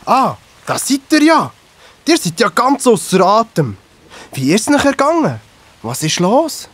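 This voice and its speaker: A man's voice: very high-pitched, very animated, measured pace, fairly bright tone, husky, heavily acted. A man with a Swiss German accent playing a faithful, encouraging biblical leader who commands his people in a children's audio drama. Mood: surprised, eager.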